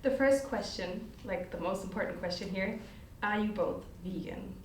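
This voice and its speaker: A woman's voice: mid-range voice, normal speed, far from the mic. A young woman hosting a student podcast, asking questions in a chatty, casual way. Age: young adult. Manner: chatty, many fillers. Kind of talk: casual chat. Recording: echoey room, voice from afar, faint noise.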